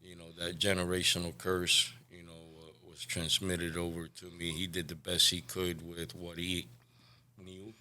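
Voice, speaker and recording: Deep, sharp-edged Cuban-born man, 40s, candid podcast guest. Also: monotone, measured pace. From Cuba. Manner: candid, soft-spoken, trails off quietly. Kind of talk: recovery podcast interview.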